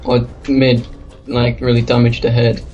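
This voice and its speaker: A man's voice: medium pitch, completely flat delivery, a little slow, a little mellow, highs cut off, faint music behind. A young man talking casually as a co-player during a game. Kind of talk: casual chat while playing a game.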